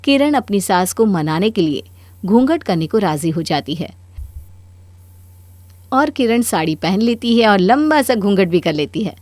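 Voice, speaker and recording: A woman's voice: medium pitch, expressive, unhurried, a little mellow, no echo. A woman giving scripted narration of a cartoon story.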